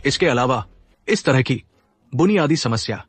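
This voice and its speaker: A man's voice: mid-range voice, lively intonation, normal speed, natural voice. An actor playing an arrogant young man caught as a cheat, defensive and evasive in a dubbed drama. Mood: flustered. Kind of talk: Hindi dub of a short drama. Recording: faint noise behind.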